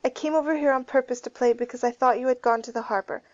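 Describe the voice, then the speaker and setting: A woman's voice: on the high side, fairly even intonation, fast, no room sound, dull audio, pitched above the performer's own voice, natural voice. A woman voicing a sensitive, earnest young boy with a beseeching manner, in a storybook reading.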